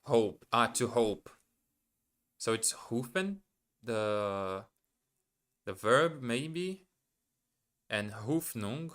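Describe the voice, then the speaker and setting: A man with a Portuguese mother tongue speaks slowly and unhurriedly. His lowish voice is bright and crisp as he talks through word forms, hesitating and correcting himself along the way. He sounds like a learner studying live for viewers. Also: lively intonation. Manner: hesitant, self-correcting, muses aloud. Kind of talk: thinking aloud while studying.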